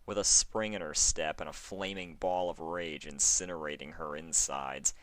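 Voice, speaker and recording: A man reading fan fiction aloud as expressive, scripted story narration. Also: rumbling low, normal speed, no echo.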